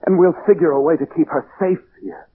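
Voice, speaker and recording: A man's voice: on the high side, brisk, breathy edge. A man acting an anguished, sensitive lovelorn diarist in an old-time radio drama, with earnest, dramatic delivery. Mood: anxious, confiding. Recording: thin, phone-like vintage radio recording, music behind.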